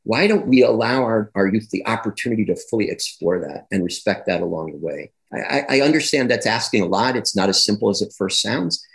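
A man speaking as a guest in an interview, explaining his views in a reflective, conversational way. He grows more animated as he builds his points. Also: lowish voice, a little fast, gravelly, few pauses. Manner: reflective, with repeated phrases and restarts. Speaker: guest, clinician and educator on gender.

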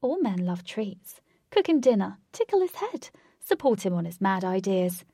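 A woman's voice: medium pitch, big pitch swings, normal speed, dark tone, no echo. A woman voicing a cheeky, knowing female adviser in an audiobook, playful and emphatic as she hands out advice. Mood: teasing, knowing. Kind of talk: audiobook character voice.

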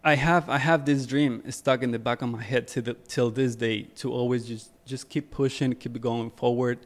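A man's voice: mid-range voice, fairly even intonation, normal speed. A young man from Ecuador, a guest on a podcast, talking casually about his photography career with many fillers and repeated words.

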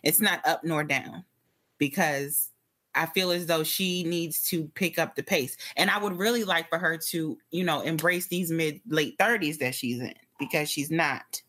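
A woman's voice: low voice, unhurried, bright, forceful tone. A woman co-hosting a casual pop culture chat, candid and a bit hesitant, with many fillers. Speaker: podcast co-host.

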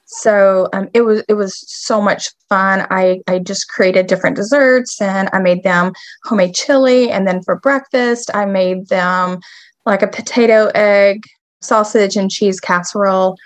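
A woman's voice: medium pitch, fairly even intonation, dry recording. A woman co-hosting a podcast as a dietitian, chatting with eager enthusiasm. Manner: enthusiastic, bubbly, quick bursts. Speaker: podcast co-host, registered dietitian. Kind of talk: casual chat.

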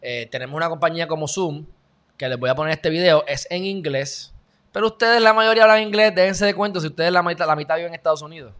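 A man with a Puerto Rican accent talks through the day's news in a loose, joking way, full of asides. His voice sits a little on the high side. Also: quick pace, a bit bright. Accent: Puerto Rican Spanish. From Puerto Rico. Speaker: video host. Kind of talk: casual news commentary.

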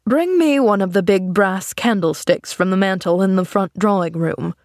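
A gruff, weary older gentleman from a storybook, voiced by a woman reader. He speaks in a measured, gently commanding way with wry kindness. The recording is close and dry. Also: medium pitch, no room sound, lightly acted. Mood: calm command. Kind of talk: storybook character acting.

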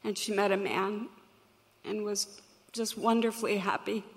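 A woman with a crackly voice, telling a personal story to an audience in an earnest, reflective, measured way. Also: mid-range voice, flat delivery. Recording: long hall reverb.

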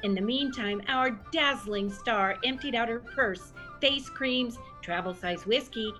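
A wry female narrator for a comic radio tale. Her voice is somewhat high, with soft music underneath, and she tells the scripted story descriptively. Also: measured pace.

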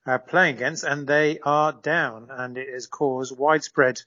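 A man's voice: medium pitch, steady tone, unhurried, bright, forceful tone, lo-fi sound. A man serving as a correspondent in a live radio interview, explaining at length with frequent fillers and restarts.

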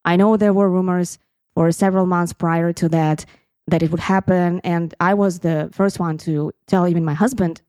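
A woman's voice: on the low side, steady tone, moderate pace, a little mellow, no echo. A woman in her thirties from Crimea whose first language is Russian, telling a personal story on her podcast in an earnest, reflective way. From Crimea, Ukraine. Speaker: podcast host.

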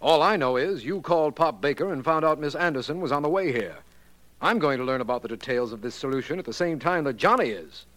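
A stern district attorney. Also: male voice, fairly high pitch, animated, fast talker, a bit bright. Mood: firm. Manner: firm, official. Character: stern male district attorney, firm and official. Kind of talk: 1940s radio acting.

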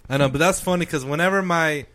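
A man's voice: on the high side, fast talker, bright, forceful tone, no echo. A man chatting casually and jokily in a loose, slangy, profane way, as a podcast host. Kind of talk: casual comedic banter.

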